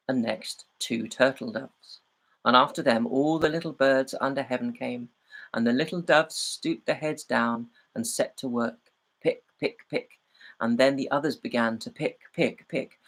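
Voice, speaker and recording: A man reading a fairy tale aloud as a storyteller, in a measured and expressive way. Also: medium pitch, vocal fry, rough recording.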